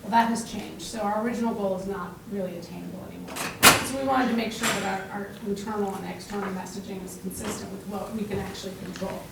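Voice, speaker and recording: A woman on a utility board giving a plainspoken committee report, speaking loudly. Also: medium pitch, fairly even intonation, fast, few pauses, heavy reverb, far from the mic, very noisy. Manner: loud, plainspoken. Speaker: board member, committee chair.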